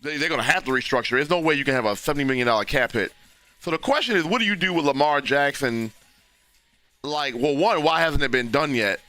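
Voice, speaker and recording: A man, hoarse and losing his voice, hosting a casual live football reaction stream and talking loosely with frequent restarts. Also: on the high side, lively intonation, fast, sharp tone, no room sound, soft background music. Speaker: livestream host reacting to a football game.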